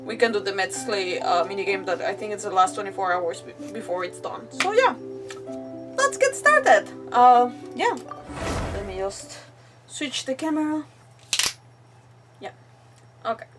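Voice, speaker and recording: A woman's voice: medium pitch, moderate pace, pauses often, distant mic. A young woman from Mexico, a native Spanish speaker, chatting casually and bubbly as she streams a game. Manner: bubbly, rambling, giggly. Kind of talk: casual livestream chat while playing. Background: game music.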